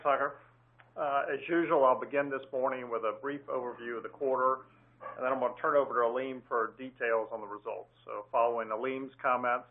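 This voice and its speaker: Bank executive, male, mid-pitched, measured and businesslike delivery, heard through a thin phone-line sound. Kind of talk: earnings call remarks.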